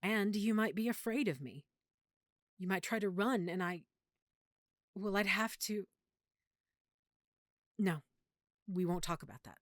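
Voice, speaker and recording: A woman playing a lovesick, guilt-ridden vampire girlfriend in an intimate audio roleplay, speaking tenderly and confidingly with dramatic pauses. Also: medium pitch, dry recording, clean studio sound, close to an everyday voice. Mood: anguished, hushed. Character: lovesick, guilt-ridden female vampire hiding her nature from boyfriend.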